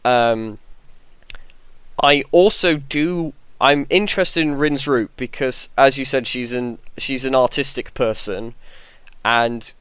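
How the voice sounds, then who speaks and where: Man, smooth clear voice, dry recording, halting casual podcast talk. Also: medium pitch, a bit bright, dry recording. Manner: hesitant, circling, repeats "like" heavily.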